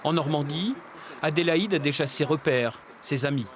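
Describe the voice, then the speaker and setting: A man reading scripted narration for a television magazine report, in a measured, even storytelling manner. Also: on the high side, heavy background noise. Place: voice-over booth. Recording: voice-over over clear music. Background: music bed.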